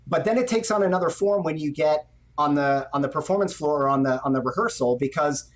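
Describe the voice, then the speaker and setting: Middle-aged male director, slightly high voice, conversational interview guest on a video call. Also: brisk. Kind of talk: conversational interview, explaining his work. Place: indoor room on a video call.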